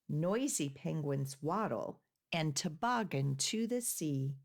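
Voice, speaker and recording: A woman's voice: on the low side, creaky. A woman hosting a children's storytime, reading aloud and leading rhymes expressively as she addresses young children. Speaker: children's librarian storytime host.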